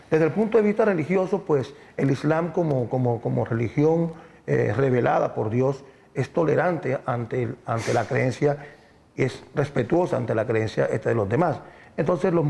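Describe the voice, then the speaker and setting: Gravelly-voiced man explaining in an interview. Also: mid-range voice, mellow. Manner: halting, measured explaining, repeats words.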